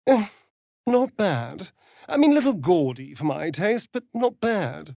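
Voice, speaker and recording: An actor playing a sly, vain vampire rogue in a video game, with a silky, theatrical, mocking drawl. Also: male voice, high voice, very animated, slow, creaky, a little mellow, dry recording, studio quality, exaggerated voice. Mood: smug, dry. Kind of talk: video game character voice lines.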